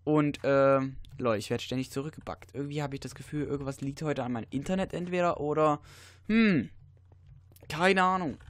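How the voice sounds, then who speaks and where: A teenage boy with a cold, giving rambling casual commentary over a video game with many fillers and sudden excited outbursts. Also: on the high side, moderate pace, no room sound. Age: teens. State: stuffy, has a cold. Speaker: let's player.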